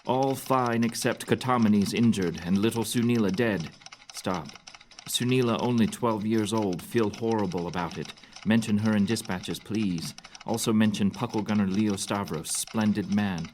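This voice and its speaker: An actor playing a hearty, commanding general in an audio drama, speaking in a wry, bluff manner. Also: male voice, lowish voice, moderate pace, hardly any pauses, darkish tone, a little hiss or hum, lightly acted. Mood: wry, amused. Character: commanding, hearty general amused by his wife's telegram. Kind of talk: audio drama acting, reading a telegram aloud.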